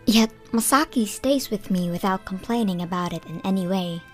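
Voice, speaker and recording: A young woman narrating a manga dub in first person, measured and earnest. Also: mid-range voice, a little mellow, faint music behind. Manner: measured storytelling, plain and earnest.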